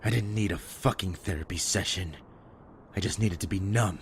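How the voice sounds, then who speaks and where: A man acting in an audio drama as a bitter, wounded, foul-mouthed survivor with a halting, raw delivery. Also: sits low, slight background noise, close to an everyday voice. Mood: furious.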